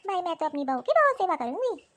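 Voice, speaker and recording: A doting grandmother from a cartoon family story, played by a woman. She speaks in a high voice, fussing fondly over her household and gently scolding like a caring mother-in-law. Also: sing-song, fast talker, heavily acted. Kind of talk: animated Hindi family drama dialogue.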